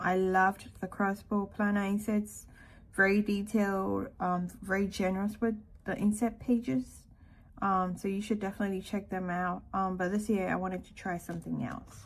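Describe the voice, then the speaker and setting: A woman from Ghana giving a casual walkthrough narration in an unhurried way, with hesitant pauses. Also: mid-range voice, slight background noise. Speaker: planner vlogger.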